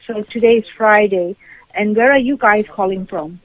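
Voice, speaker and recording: A woman's voice: medium pitch, normal speed. A middle-aged woman speaking on a phone call in a firm, questioning manner, over a slightly noisy line. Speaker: prank call target, parent. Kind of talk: phone conversation. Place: on a telephone call.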